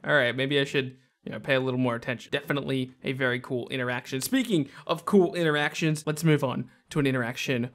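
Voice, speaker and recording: A man's voice: medium pitch, fast, hardly any pauses, fairly bright tone. A young man giving casual, slangy video game commentary as host, emphatic and enthusiastic. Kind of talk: casual top-ten commentary.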